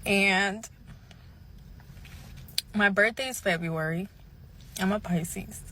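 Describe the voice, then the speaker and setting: A woman in her late twenties speaks very slowly in a hesitant, confessional vlog style. She sounds emotional and near tears, and there is light ambient noise behind the voice. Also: mid-range voice, sharp tone.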